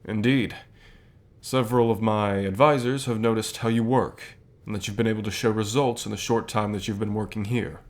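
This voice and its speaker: A man playing a calm, encouraging company boss in an ASMR roleplay, speaking in a measured, unhurried way with pauses. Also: on the low side, lightly acted. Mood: warm, sincere. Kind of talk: ASMR roleplay, softly acted one-sided dialogue.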